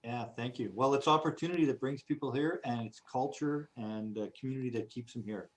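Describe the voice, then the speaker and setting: A man's voice: mid-range voice, fairly quick. A man, giving an earnest candidate speech and repeating himself at times. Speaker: council candidate.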